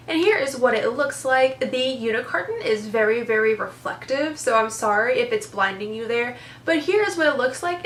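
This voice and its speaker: A woman's voice: sits high, fairly bright tone, roomy sound, slight background noise. A young woman with a congested, stuffed-up voice, chatting enthusiastically as she reviews makeup. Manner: chatty, enthusiastic, lots of "super" and "very". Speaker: beauty vlogger. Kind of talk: casual product review chat.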